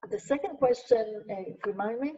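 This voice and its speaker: An older woman, a Hebrew speaker, answers audience questions in earnest, stacking her points in lists. Her voice is mellow and fairly high, sitting well back from the mic. The video call audio sounds dull and lo-fi. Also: normal speed, far from the mic. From Israel. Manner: earnest, emphatic lists, frequent restarts. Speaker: guest speaker, sociologist.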